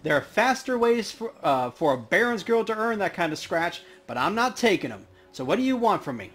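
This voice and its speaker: A man's voice: sits high, sing-song, a little fast, a bit bright, pitched above the performer's own voice. A man voicing a tough, jaded elf woman bartender, curt and streetwise, reading video game dialogue aloud. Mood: defiant.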